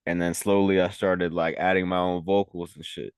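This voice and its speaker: A man's voice: low voice, flat delivery, moderate pace, smooth, no room sound, studio quality. A young man from Venezuela, a rapper and engineer, chatting casually in an interview, rambling and slangy with lots of "like". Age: young adult. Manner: laid-back, rambling, heavy on "like" and slang.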